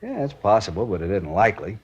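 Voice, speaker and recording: A low-voiced American detective in a 1950s radio drama. He is methodical and plainspoken, briskly clipping his sentences on a dull, aged recording. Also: wildly swooping pitch, breathy edge, full character voice. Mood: measured, serious. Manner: clipped, matter-of-fact, drops subjects from sentences. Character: plainspoken, methodical male detective sergeant from out of town.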